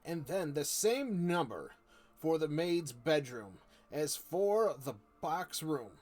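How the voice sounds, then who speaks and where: A man reading a children's novel aloud informally, halting and stumbling over words, with casual asides. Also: a little high, sing-song, darkish tone. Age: adult, not a child. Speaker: story streamer reading aloud.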